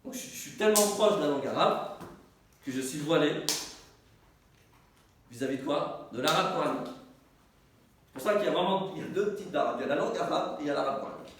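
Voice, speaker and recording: A man's voice: fairly high pitch, animated, quick pace, pauses often. Teacher, a man whose first language is Arabic, in an echoey room. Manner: repeats words while searching, asks and answers his own questions. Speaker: teacher, institute director. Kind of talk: informal teaching discussion with a group. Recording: echoey room, distant mic, faint noise.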